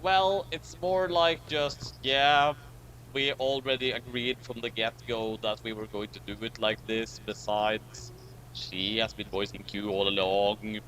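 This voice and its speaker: A man's voice: fairly low pitch, level tone, a little slow, fairly bright tone, slight background noise, rough recording. A man giving casual, riffing commentary as a co-commentator on a story.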